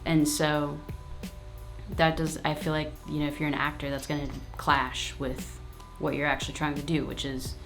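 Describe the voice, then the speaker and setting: A woman with a low, full speaking voice, talking straight to her viewers in an easygoing vlog Q&A. Her manner is frank and casual, with plenty of slang and asides. Faint music sits underneath. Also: little pitch movement, normal speed. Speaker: YouTube host.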